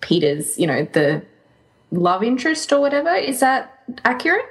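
A woman's voice: on the high side, sing-song, normal speed. A woman co-hosting a podcast in a casual, conversational fan-chat style. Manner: informal, conversational. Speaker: podcast co-host.

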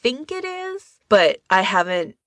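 A woman's voice: mid-range voice, very animated, slow pace, a bit bright, no room sound. A woman co-hosting a podcast in casual discussion, talking tentatively and carefully qualifying what she says. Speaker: podcast co-host.

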